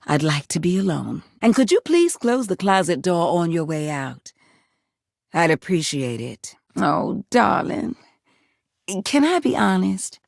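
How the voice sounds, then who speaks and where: Game role: a living household appliance, female, folksy drawl, proud yet tender, gravelly low register, close dry sound. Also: darkish tone, dry recording. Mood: hurt, reserved, then gentle, apologetic. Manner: folksy, affectionate, emotionally candid. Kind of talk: video game character acting.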